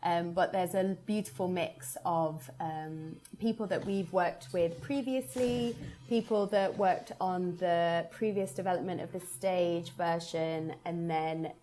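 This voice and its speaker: A woman's voice: on the low side, steady tone, slow pace, mellow. A woman hosting an informal, upbeat podcast conversation about theatre as its producer. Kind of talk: podcast announcement and interview.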